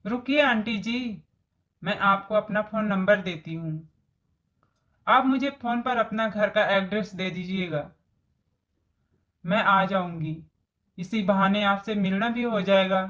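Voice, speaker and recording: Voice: mid-range voice, measured pace, long pauses, fairly bright tone, lightly acted. A voice actor playing a friendly, helpful young woman in a story cartoon, speaking politely. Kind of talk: Hindi moral story cartoon dialogue.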